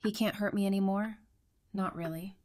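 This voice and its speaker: A woman playing a composed, tough-minded therapist in an audio drama, speaking in a measured, dry, self-assured way. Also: mid-range voice, fairly even intonation, a little mellow, a little hiss or hum, close to an everyday voice. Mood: firm.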